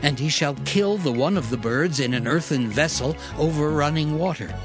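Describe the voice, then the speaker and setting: A man narrating scripture from a script in a measured, solemn manner. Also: a little high. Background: background music.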